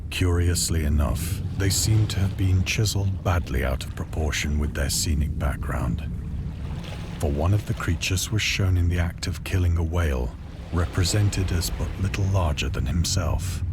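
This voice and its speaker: A man playing a haunted, awestruck survivor, narrating an old-fashioned horror tale in a grave, formal, deliberate manner. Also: deep voice, background noise. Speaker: narrator of a horror visual novel. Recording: loud sea and boat ambience behind.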